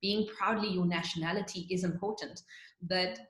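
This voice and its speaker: Here is a woman from Zimbabwe with a lowish voice, hosting an interview. She talks in a heartfelt, conversational way and hesitates on her fillers. Her voice has a noticeable echo from the room she is in. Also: steady tone, normal speed, a bit bright. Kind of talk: casual interview talk. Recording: echoey room.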